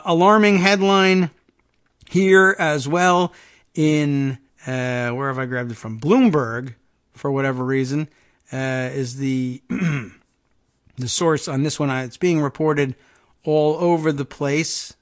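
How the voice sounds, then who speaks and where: A man hosting a political talk show, reading news stories aloud with wry asides, halting on hard names and restarting often. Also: mid-range voice, fairly even intonation. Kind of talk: casual news commentary, reading headlines aloud.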